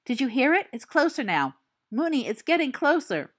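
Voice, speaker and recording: A panicky teenage boy, high and hissing as he pleads with his friend to wake up, as a woman puts him on. His whispers keep jumping upward in pitch, tight and urgent. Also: sing-song, moderate pace, fairly bright tone, exaggerated voice. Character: frightened teenage boy wizard, jumpy and panicky.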